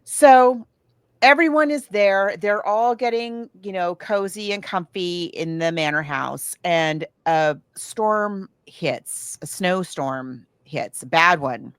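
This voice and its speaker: A woman's voice: on the low side, slow, clear, resonant tone, dry recording, clean studio sound. An American woman co-hosting a podcast, telling stories in a casual, conversational way and often backtracking as she goes. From United States. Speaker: podcast co-host. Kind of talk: casual book and film discussion.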